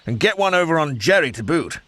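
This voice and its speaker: An actor playing a genial upper-class British wing commander in a video game, with a plummy British accent and jovial, courteous military slang. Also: male voice, a little high, sing-song, a little fast, fairly bright tone, dry recording. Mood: jovial, encouraging. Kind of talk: video game cutscene acting.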